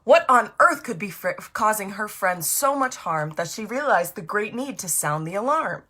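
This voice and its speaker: A woman reading her storybook aloud to children in an animated, encouraging way, addressing young listeners directly. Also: mid-range voice, normal speed.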